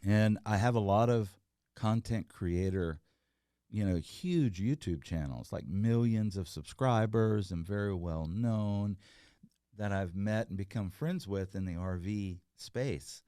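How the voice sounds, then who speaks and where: A middle-aged man speaks in a very low, deep voice. He talks earnestly and rambles, with many fillers and restarts. The sound is dry and close. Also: slow, warm tone, smooth, no echo. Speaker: guest, entrepreneur. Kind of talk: casual interview chat.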